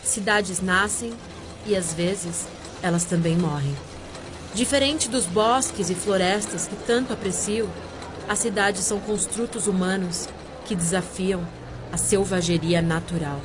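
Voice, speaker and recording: An actress playing a brooding, free-spirited Amazonian sorceress, narrating an audio drama in a measured, literary manner. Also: female voice, fairly low pitch, music in the background, lightly acted. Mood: solemn, mysterious.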